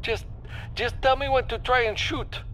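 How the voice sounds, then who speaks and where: Squeaky male mechanic, very high, frantic and pleading, sci-fi audiobook sidekick. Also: steady tone, moderate pace, bright, forceful tone, a little hiss or hum. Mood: nervous. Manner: nervous, pleading. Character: panicky, squeaky male ship mechanic in over his head.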